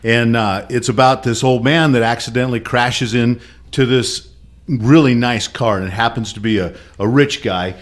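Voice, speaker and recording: A man's voice: mid-range voice, normal speed. A man on a casual podcast tells a long, rambling dad joke. He acts out the quoted lines as he goes. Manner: storytelling with quoted voices.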